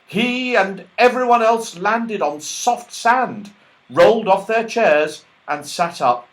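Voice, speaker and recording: A man's voice: fairly high pitch, fairly bright tone, rough recording. A man narrating a children's story expressively, as an audiobook reader.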